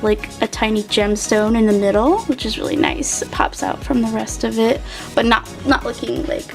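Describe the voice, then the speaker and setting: High-voiced woman chatting through a product review. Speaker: co-host of a toy review. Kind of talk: casual unscripted product review chat. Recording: music behind the voice.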